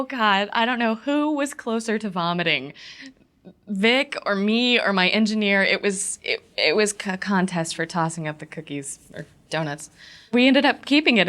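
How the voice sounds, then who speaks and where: A woman voice director recalling a recording session, chatty and amused. Her pitch sits in an ordinary middle range. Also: fairly bright tone. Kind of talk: interview anecdote.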